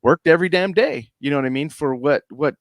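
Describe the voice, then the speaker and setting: A middle-aged man from the United States talks through his thoughts on a casual podcast, looping back with frequent check-ins to his partner. His voice sits somewhat high for a man. The recording is dry and close, with no room sound. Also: a little fast, dry recording. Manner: rambling, fills with "you know what I mean". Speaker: podcast co-host. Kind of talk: casual chat.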